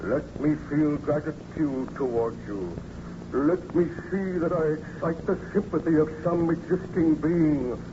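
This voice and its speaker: An actor playing a hideous outcast monster, pleading and menacing in a solemn, declamatory old radio horror style. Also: male voice, a little high, close to an everyday voice. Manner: solemn, declamatory, drawn-out phrasing. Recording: noisy, muffled old radio recording.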